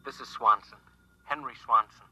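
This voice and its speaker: An actor playing a furtive, frightened caller, urgent and conspiratorial, through a telephone filter in old-time radio drama. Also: male voice, medium pitch, fairly even intonation, moderate pace, bright, forceful tone, slight background noise, poor audio. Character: furtive, frightened man giving secret warnings by telephone. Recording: filtered phone voice on vintage radio.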